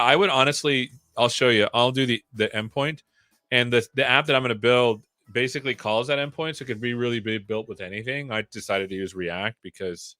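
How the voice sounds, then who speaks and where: A man's voice: mid-range voice, bright, forceful tone, no echo. A man hosting a casual livestream, rambling playfully with jokey asides. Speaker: live show host. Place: own room at home.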